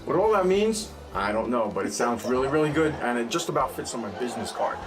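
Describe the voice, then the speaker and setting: A man's voice: mid-range voice, expressive, fast, over music. A middle-aged man from New York with a New York accent, chatting as an interview guest and cracking playful jokes to the audience. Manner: joking, playful asides to an imagined audience. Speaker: guest, accountant. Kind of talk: casual interview chat.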